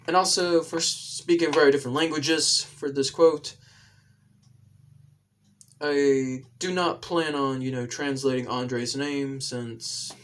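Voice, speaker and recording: A video creator introducing things casually, in a halting, deliberate and rambling manner. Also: mid-range voice, slight background noise.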